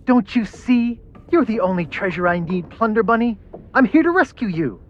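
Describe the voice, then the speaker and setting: A man's voice: high voice, lively intonation, normal speed, muffled recording, a little hiss or hum. A male actor playing a vain, boyish, self-important pirate hero with theatrical delivery in a video game. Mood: heroic. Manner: pompous, theatrical. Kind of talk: video game cutscene acting.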